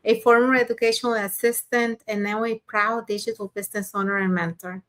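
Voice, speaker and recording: A woman's voice: fairly high pitch, fairly even intonation, a little fast. An older woman from Mexico, a grandmother, introducing herself cheerfully on a panel.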